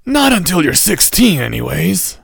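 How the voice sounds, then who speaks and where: Man doing a gravelly, snappish old shopkeeper. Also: a little high, big pitch swings, moderate pace, no echo, clean studio sound, voice pushed up, full character voice. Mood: grumpy. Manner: gruff and scolding. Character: thin grumpy old male shopkeeper.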